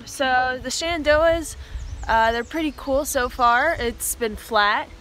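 A woman's voice: high voice, slow pace, background noise. A woman in her twenties chatting casually into a phone camera at arm's length while out hiking, upbeat and filler-heavy. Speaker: thru-hiker vlogger. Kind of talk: casual trail vlog. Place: outdoors by a road in green woods. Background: background music.

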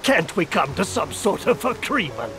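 An actor playing a suave, scheming villain leader in a video game. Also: male voice, high voice, very animated, moderate pace. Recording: game audio with music. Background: music.

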